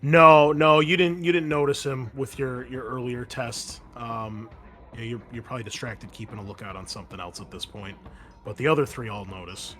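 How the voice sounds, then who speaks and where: Man running a game, halting delivery. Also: medium pitch, steady tone, pushed and bright, a little hiss or hum. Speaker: game master. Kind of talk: improvised tabletop game narration and casual rules talk.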